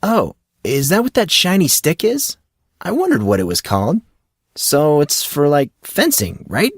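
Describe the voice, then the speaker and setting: A man plays a fourteen-year-old high school boy in an audiobook. He is curious and a little hesitant, with a wry, questioning delivery. The voice is lifted high and swings around in pitch. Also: huge pitch swings, measured pace, dry recording, studio quality, exaggerated voice. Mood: puzzled. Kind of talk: audiobook character dialogue.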